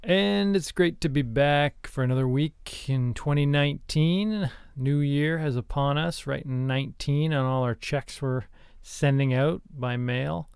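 Clear-voiced man hosting a podcast, close and dry. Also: a little high, measured pace, a little mellow, no room sound. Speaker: podcast co-host. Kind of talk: casual podcast talk about screenwriting.

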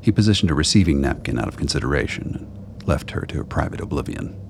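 Very deep gravelly male narrator. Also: sing-song, fast talker, slight background noise. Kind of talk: scripted radio play narration.

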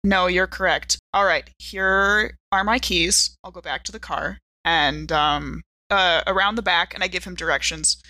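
A woman's voice: lowish voice, measured pace, hardly any pauses, bright, forceful tone, no room sound, poor audio. A woman playing in a tabletop role-playing game, moving between casual table talk and improvised dialogue.

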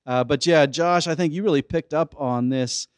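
Relaxed, rambling church podcast host, a man. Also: on the high side, normal speed, clean tone, no echo, studio quality. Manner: relaxed, rambling with many fillers. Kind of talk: casual chat.

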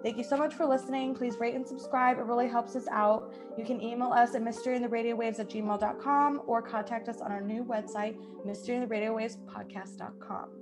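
Woman podcast host with a highish voice. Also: fairly even intonation, brisk, few pauses, over music. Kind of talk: scripted podcast introduction.

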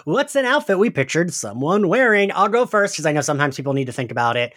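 High, lively-voiced man hosting casual chat. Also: quick pace, noisy background. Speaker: podcast host.

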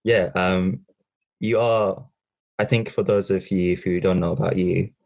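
A man's voice: deep voice, steady tone, a little slow, creaky, a little mellow. A man hosting a podcast interview, asking questions hesitantly with many fillers and stalls. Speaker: podcast host. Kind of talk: casual interview.

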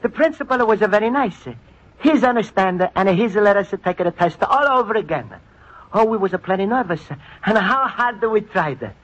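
A man's voice: sits high, animated, moderate pace, fairly bright tone. An actor playing a naive, earnest Italian immigrant man with an Italian accent and broken English, in a 1950s radio comedy. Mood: fond. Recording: dull old radio broadcast.

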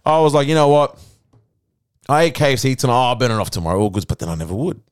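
A man hosting a podcast in relaxed conversation. His voice sits in a middle pitch, and he strings stories together with plenty of filler and asides. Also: racing, clean tone, no room sound, clean studio sound. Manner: animated storytelling, lots of "you know". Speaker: podcast host. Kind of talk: casual chat.

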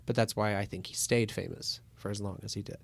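A man in his thirties, born in Moscow, talking thoughtfully as a guest with many pauses and fillers. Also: lowish voice, steady tone, creaky. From Russia. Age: 30s. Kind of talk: casual interview talk.

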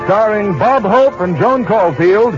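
A man's voice: high-pitched, animated, measured pace. A man announcing a 1940s radio show with grand, formal proclamation. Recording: muffled 1940s radio broadcast with music.

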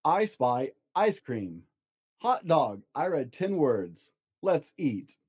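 A man's voice: fairly high pitch, very animated, slow, a little mellow, breathy edge, full character voice. A man voicing a sassy, stubborn, clever little sister in a storybook, cocky and insistent.

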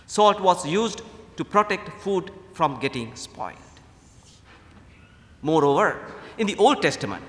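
Sri lankan guy preaching like a priest, voice kinda high and swinging up and down, big echoey church sound. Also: fairly bright tone. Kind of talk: sermon. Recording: echoey hall with long reverb.

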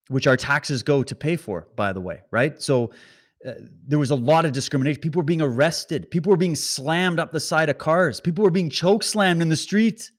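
A man hosting a political commentary stream, talking straight to his viewers with animated sarcasm. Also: fairly high pitch. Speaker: commentary host.

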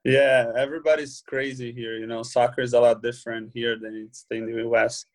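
A man's voice: mid-range voice, brisk. Brief: young Brazilian man, Portuguese-accented English, easygoing interview guest, laughs easily. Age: young adult. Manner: relaxed, many "you know" fillers, laughs easily. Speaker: guest, professional footballer. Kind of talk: casual interview chat.

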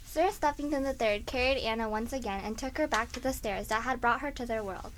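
Female storyteller, fairly high voice, scripted kids' tale narration, faint background noise. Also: a little fast.

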